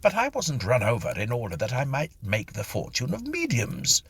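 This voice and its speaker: An indignant gentleman ghost, played by a male reader, grumbles petulantly through a storybook tale. The voice is husky and bright, leaping about in pitch as he protests in refined, exasperated phrases. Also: huge pitch swings, normal speed, exaggerated voice. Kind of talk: dramatised audiobook storytelling.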